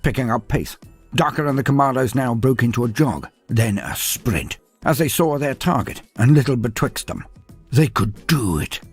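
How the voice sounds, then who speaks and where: A man's voice: medium pitch, animated, normal speed, slightly rough, soft background music. A man narrating a scripted fantasy story in a theatrical, florid and whimsical way. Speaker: narrator of fantasy lore stories.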